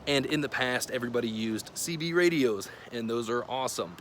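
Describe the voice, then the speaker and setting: A man's voice: mid-range voice, brisk, a bit bright, a little hiss or hum. A man hosting a casual product review to camera in an informal, plain-spoken, conversational way. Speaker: video host.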